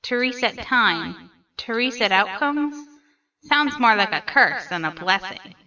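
A cheerful cartoon skeleton hero acted by a woman talks in a high, bright voice that bounces widely in pitch. He is grandiose yet earnest and naive, performing with theatrical pride. The microphone sounds a little distant. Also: animated, a little slow, dull audio. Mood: sympathetic. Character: cheerful, boastful, naive male skeleton brother. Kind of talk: comic dub character acting.

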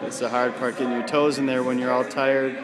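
A man's voice: medium pitch, level tone, brisk. A man coaching in a gym, counting reps aloud and encouraging his client, recorded on a camera mic. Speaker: personal trainer. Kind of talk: coaching and commentary. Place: gym studio, indoors. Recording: camera audio in a large echoing gym with music.

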